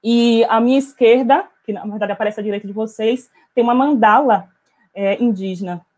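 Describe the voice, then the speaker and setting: Woman, middle-aged, warm low-bright voice, headset mic, video call talk. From Bahia, Brazil. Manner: measured, many hesitation fillers. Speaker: invited speaker, author. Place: small room at home, indoors. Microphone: earphone headset on video call.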